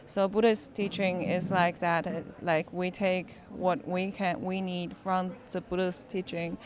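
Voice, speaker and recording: Slow, low-pitched woman director. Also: flat delivery, noisy background. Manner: thoughtful, halting, with restarts and "like". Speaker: film director. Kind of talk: interview answers.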